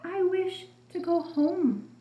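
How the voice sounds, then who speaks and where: A woman's voice: very high-pitched, lively intonation, slow pace, mellow, echoey room, voice pushed up, exaggerated voice. A woman voicing a poor, dreamy young boy in a storybook tale, in an earnest, pleading way. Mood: longing. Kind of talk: storybook character voice.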